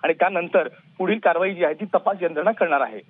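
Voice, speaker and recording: Over a thin, phone-like connection, a man reports live for the news. His voice is high for a man, and he speaks in wordy, unscripted sentences. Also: fast talker. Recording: tinny phone-like live link.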